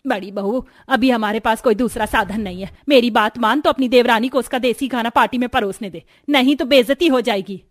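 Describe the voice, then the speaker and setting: A woman's voice: on the high side, brisk, few pauses, no echo, clean studio sound, natural voice. A woman playing a sensible older mother-in-law who talks in a coaxing, familiar way. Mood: persuading.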